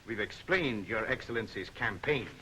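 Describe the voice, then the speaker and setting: An actor playing a dutiful colonel, deferential and formal, in 1930s film style. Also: male voice, lowish voice, animated, moderate pace, lightly acted. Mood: eager, dutiful. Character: dutiful, crisp colonel reporting to his master. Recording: 1930s film soundtrack with faint noise.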